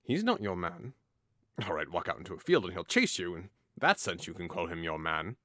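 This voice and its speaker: A man's voice: low-pitched, sing-song, a little fast, sharp tone, no room sound, studio quality, full character voice. A man playing a hot-headed, mocking young nobleman in an audio drama, with a theatrical, taunting delivery. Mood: scornful, provoking.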